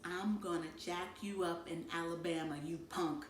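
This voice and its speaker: A woman's voice: lowish voice, fairly even intonation, moderate pace, echoey room, slight background noise, natural voice. A woman voicing a defiant, scheming teenage boy with swaggering street slang, a bullying big brother in a storybook. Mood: menacing, hushed.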